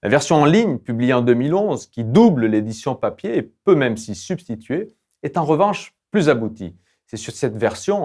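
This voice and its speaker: A male lecturer delivers scripted instructional narration in a mid-pitched voice. His manner is formal and didactic, with measured, academic phrasing. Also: darkish tone. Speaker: lecturer presenting language-learning tools.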